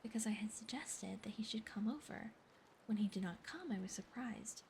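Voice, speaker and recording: A woman voicing a suave, composed male naturalist in a soft whisper while reading a story aloud. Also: mid-range voice, moderate pace, vocal fry, a little hiss or hum, lightly acted. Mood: polite, composed.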